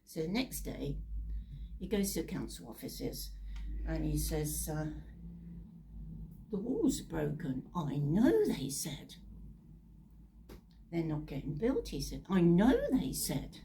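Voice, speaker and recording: A woman's voice: sits low, big pitch swings, takes it slowly, long pauses, warm tone, a little hiss or hum, low-quality recording. A British woman telling Bible stories in a chatty, informal way, with theatrical asides and rhetorical questions. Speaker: storyteller.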